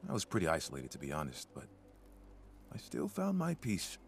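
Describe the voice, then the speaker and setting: An actor playing a gentle, soft-spoken male ghoul who calmly accepts hardship, in video game dialogue. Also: low-pitched, brisk, vocal fry, a little hiss or hum, heavily acted. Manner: calm, mild, accepting.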